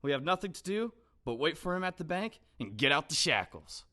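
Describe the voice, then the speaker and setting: A man's voice: fairly high pitch, very animated, a little fast, bright, forceful tone. A man acting a confident, self-satisfied police inspector in an audio drama, formal and declarative. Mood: gleeful, confident. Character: smug, confident police inspector, eager on the hunt.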